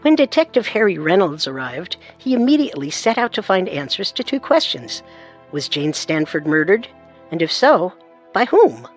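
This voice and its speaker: A woman's voice: fairly low pitch, sing-song, normal speed, soft background music. A woman co-narrating a true-crime story in a scripted, suspenseful manner.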